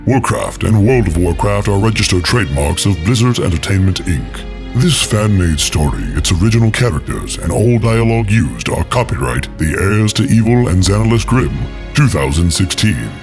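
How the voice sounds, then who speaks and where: Low voiced guy announcing credits, scripted read, music behind. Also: animated, normal speed. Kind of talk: scripted narration.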